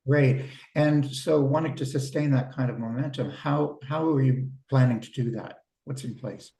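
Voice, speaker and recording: A man coaching small business owners on a video call, talking in a relaxed, conversational way and often repeating words as he starts a thought. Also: mid-range voice, moderate pace, gravelly. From Canada. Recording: roomy sound from a distance.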